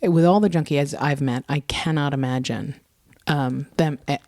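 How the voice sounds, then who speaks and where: A woman's voice: low voice, normal speed, darkish tone. A woman co-hosting a podcast, giving event details in an informal, direct, chatty way. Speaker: podcast co-host. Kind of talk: casual podcast chat, announcing tour details.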